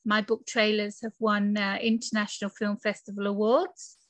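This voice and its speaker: A woman's voice: mid-range voice, level tone, moderate pace, no echo. A British woman in her forties from the UK, chatting casually as an interview guest in her bedroom office, with lots of fillers and laughs. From United Kingdom. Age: 40s. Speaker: guest author and podcaster.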